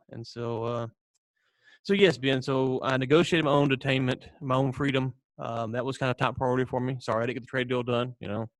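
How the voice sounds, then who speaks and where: A man's voice: mid-range voice, no echo. An American man co-hosting a podcast, telling stories casually in a rambling, mock-serious, joking way. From United States. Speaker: podcast co-host. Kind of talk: casual storytelling chat.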